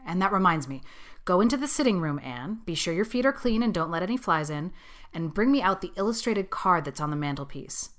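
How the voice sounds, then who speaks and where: A stern older spinster with a lowish, hard-edged voice, acted by a woman narrator. She is brisk and practical, laying down rules with grim disapproval in a storybook reading. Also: lively intonation, a bit bright. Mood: stern, commanding. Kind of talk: audiobook character acting.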